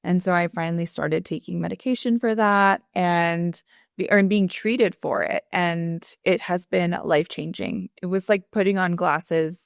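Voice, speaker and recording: A woman attorney from South Texas talking candidly as an interview guest, earnest and reflective, often pausing with fillers and restarting her sentences. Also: lowish voice, gravelly, no room sound, studio quality. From Rio Grande Valley, Texas.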